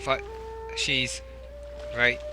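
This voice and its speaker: A man giving casual gameplay commentary, low-key, as if muttering to himself. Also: very slow. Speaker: game streamer. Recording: game music behind the voice.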